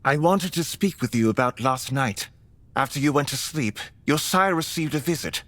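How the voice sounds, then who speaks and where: A man plays a polite, measured vampire mentor for an audio drama. His phrasing is formal and courteous, yet the melody moves around a lot. The sound is dry and intimate. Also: medium pitch, animated, a bit bright, dry recording, natural voice. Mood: calm, tactful.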